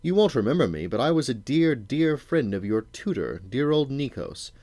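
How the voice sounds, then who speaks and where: A man's voice: mid-range voice, very animated, moderate pace, dark tone, no echo, pitched above the performer's own voice. Old wizard, genial on top and sneering beneath, voiced by a male reader, silky, raised storybook voice. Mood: falsely delighted. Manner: purring, silky, mock-affectionate.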